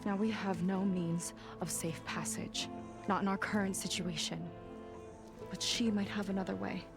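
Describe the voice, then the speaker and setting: A woman's voice: medium pitch, fairly even intonation, normal speed, natural voice. A woman playing a proud, determined soldier in a video game, speaking in an earnest, insistent way. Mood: earnest, pleading. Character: determined, proud female soldier and navigator's sister, defiant. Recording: loud music under the voice.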